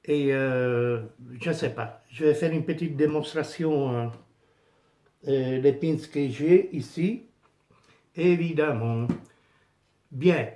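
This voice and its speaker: A middle-aged man with an Italian accent, speaking French as a second language, casually vlogging about his pin collection with many pauses and fillers. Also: on the high side, mellow. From Italy. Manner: hesitant, many fillers and restarts, searching for words. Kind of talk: casual unscripted vlog.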